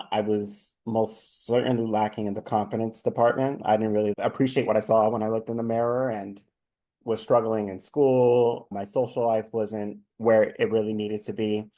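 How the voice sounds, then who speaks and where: Male guest in his 30s from NYC, low mellow voice, candid personal storytelling. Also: low-quality recording. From New York City. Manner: open, reflective, with frequent restarts. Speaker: life coach, guest. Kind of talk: conversational storytelling.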